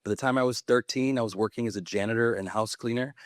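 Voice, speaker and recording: A man's voice: medium pitch, quick pace, no room sound, clean studio sound. A man in his thirties from California, a mayor speaking on a conference panel, talking with earnest, passionate conviction. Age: 30s. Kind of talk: panel talk to an audience.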